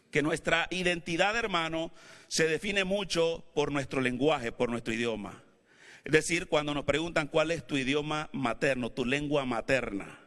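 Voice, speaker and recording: A male pastor with a Latin American Spanish accent, not Mexican, preaching a sermon in a church and building up to shouted calls to the congregation. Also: on the high side. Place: church sanctuary. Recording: long hall reverb.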